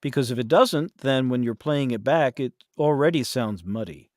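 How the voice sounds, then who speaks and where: A man's voice: medium pitch, expressive, normal speed, darkish tone, no room sound, clean studio sound. A man chatting casually as a podcast guest, telling anecdotes and acting out the remarks he quotes.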